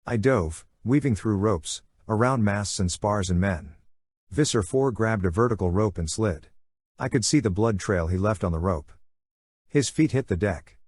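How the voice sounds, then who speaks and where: Guy reading an adventure audiobook, husky, lowish voice, intense, really dry close sound. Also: darkish tone, dry recording. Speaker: audiobook narrator. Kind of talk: dramatic first-person fiction narration.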